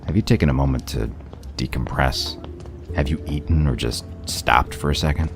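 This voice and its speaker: Radio play role: man voicing a gruff wolfish sheriff, extremely deep, heavy gravel, terse and dryly teasing. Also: moderate pace, soft background music. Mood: gruff concern. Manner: laconic, dry teasing. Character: gruff, sardonic male wolf lawman, loyal underneath. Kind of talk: audio drama dialogue.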